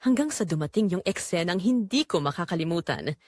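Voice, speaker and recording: A woman's voice: fairly low pitch, animated, brisk, a little mellow, no room sound, clean studio sound. A woman with a Filipino accent playing a weary, anguished migrant-worker mother, telling her story in an emotive, confiding audio drama narration. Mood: weary, pained.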